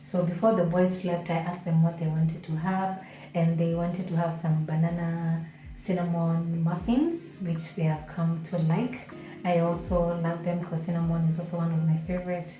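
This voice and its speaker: A woman and mother vlogging at home in the morning, talking casually through her kitchen with a croaky, deep, just-woken voice. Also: moderate pace. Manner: relaxed, chatty, explains as she goes. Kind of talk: casual vlog narration. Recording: roomy echo with faint background music.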